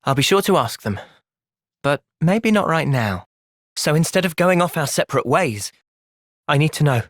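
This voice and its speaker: A young man acting an earnest, thoughtful soldier hero in a video game cutscene, speaking in a measured, sincere way. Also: a little high, animated, no echo, studio quality. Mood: earnest, reflective.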